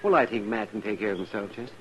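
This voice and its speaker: An actor playing a crotchety, teasing frontier town doctor with a folksy manner in an old-time Western radio drama. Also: male voice, fairly low pitch, animated, rapid-fire, close to an everyday voice. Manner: folksy, needling, chuckling old-timer. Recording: dull, slightly noisy vintage radio recording.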